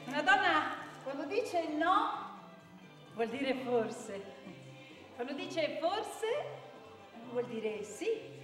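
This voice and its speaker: A woman's voice: high-pitched, sing-song, very slow, long pauses, heavily acted. An actress playing a scornful, gossipy woman in a theatrical monologue, insinuating and full of rhetorical questions. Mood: mocking, emphatic. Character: scornful, gossipy woman who blames victims. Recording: echoey hall, distant microphone, faint music.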